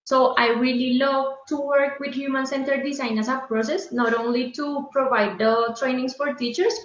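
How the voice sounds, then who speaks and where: A woman's voice: sits high, a bit bright, reverberant, highs cut off. A woman from Colombia giving a short, plain introduction of herself as a caller. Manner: brief, plain self-introduction.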